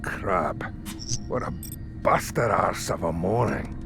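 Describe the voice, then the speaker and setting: Blunt marine sergeant, slow gravelly voice. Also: male voice, low voice, lively intonation, music in the background, exaggerated voice. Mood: weary, sardonic. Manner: blunt, wry, plain-spoken. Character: gruff, sardonic male marine sergeant, blunt soldier. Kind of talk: science fiction audio drama acting.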